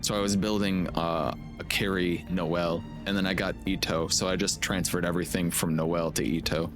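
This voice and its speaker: Deep-voiced man streaming a game. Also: steady tone, moderate pace. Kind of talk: casual live gameplay commentary. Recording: game music and effects behind voice. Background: game music and combat sounds.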